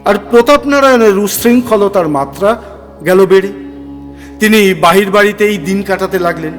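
A man narrating a scripted audio story in a measured, theatrical and literary manner. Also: sits high. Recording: long reverb, music bed.

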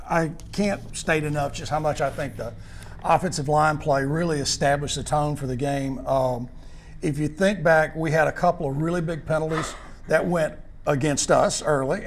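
An older man interviewing high school football players in a clubhouse after a game, upbeat and full of praise. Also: slight background noise. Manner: upbeat, praising, rambling questions. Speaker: postgame interviewer. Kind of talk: postgame sports interview.

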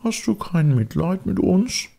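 A wheedling old storybook fox, begs in a high, whiny howl. The crook wails pitifully for charity. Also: male voice, animated, unhurried, creaky, dark tone, full character voice. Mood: pleading. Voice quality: howling, whiny.

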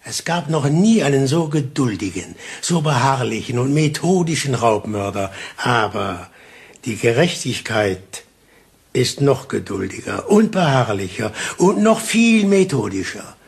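An actor playing a shrewd police inspector in a radio play, speaking deliberately and theatrically with taunting insinuation. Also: male voice, medium pitch, sing-song. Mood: menacing, accusing. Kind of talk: German radio play acting.

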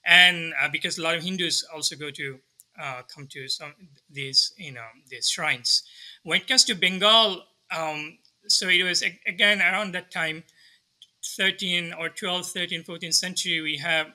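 Man in his 30s, from Bangladesh, bright voice, slightly high, hesitant explanations, webcam video call. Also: moderate pace, low-quality recording. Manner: thoughtful, many restarts and fillers. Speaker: guest scholar. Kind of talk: explanatory interview answer. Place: home study with bookshelves.

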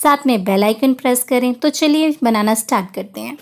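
Lady doing a recipe voiceover, kinda high voice, explains stuff step by step. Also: a little fast. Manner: instructional, explains each step plainly. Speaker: home cook presenting recipes. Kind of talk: step-by-step recipe voice-over narration.

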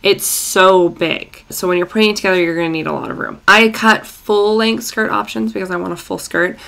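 A woman in her thirties, slightly croaky from a recent illness, chatting casually through a sewing tutorial in a small home room. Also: medium pitch, fairly even intonation, fairly quick, bright, forceful tone. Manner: chatty and self-deprecating, with fillers. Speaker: sewing vlogger. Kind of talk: casual tutorial chat to camera.

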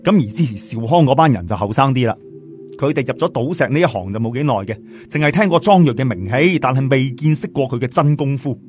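Male Cantonese-accented storyteller, animated and colloquial, swooping intonation, music underneath. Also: medium pitch, quick pace. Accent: Cantonese, southern Chinese. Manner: colloquial, expressive traditional storyteller delivery. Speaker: audiobook storyteller. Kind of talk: animated scripted storytelling narration. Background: background music.

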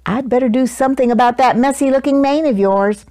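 A woman's voice: high-pitched, brisk, a little mellow. A woman voicing a kindly but strict storybook lady who takes in stray pets, prim and firm in a motherly way.

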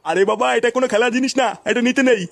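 A man's voice: very high voice, rapid-fire, gravelly, no room sound. A man voicing a cranky, foul-mouthed old village grandfather in a comic cartoon, scolding and grumbling. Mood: irritated scolding. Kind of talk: exaggerated comic cartoon dialogue.

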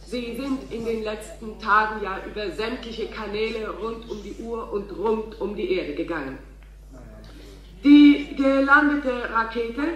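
An actress playing a polite, gushing TV studio announcer in a radio drama. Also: female voice, on the high side, a bit bright. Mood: apologetic, smoothing over. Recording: echoey hall announcement.

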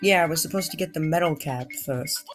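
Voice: medium pitch, brisk, over music. A gamer hosting a casual let's play, talking in a playful, rambling way that drifts into babble. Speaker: gaming video host.

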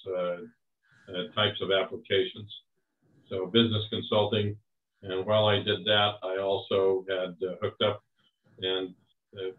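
A man in his seventies speaks in a deep, flat voice, walking through his career in a slow, measured way with frequent "uh" pauses. He sounds far from the mic on a tinny video-call line. The room around him is echoey. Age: 70s. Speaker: interview guest, Vietnam War veteran. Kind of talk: recounting career history.

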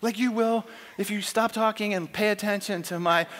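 A middle-aged man preaching on a large church stage, emphatic and animated, acting out quoted lines as he tells a story. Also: high-pitched, moderate pace. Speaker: conference speaker. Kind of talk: sermon with storytelling. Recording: long hall reverb.

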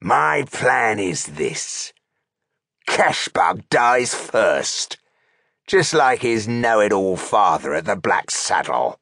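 A man voicing a brutal, arrogant orc chieftain for an audiobook, growling and snarling his orders in a rough voice. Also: on the low side, sing-song, slow pace, a bit bright, no room sound, clean studio sound, exaggerated voice. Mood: snarling menace.

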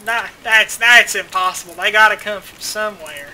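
A gamer chatting casually during play, teasing and bossy, shouting when excited. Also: mid-range voice, moderate pace, poor audio. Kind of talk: casual gaming chat.

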